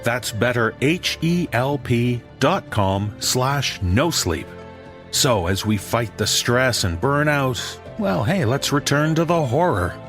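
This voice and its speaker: A man hosting a horror story podcast, reading a scripted introduction in a measured, theatrical manner. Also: medium pitch, animated, a bit bright. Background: music.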